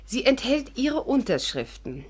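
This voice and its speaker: An actress playing a clever, courteous amateur sleuth who also narrates a 1950s radio play, earnest and articulate. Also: female voice, high voice, sing-song, unhurried, husky, heavily acted. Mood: eager, confiding. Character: clever, polite amateur sleuth bluffing as secretary. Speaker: heroine and narrator.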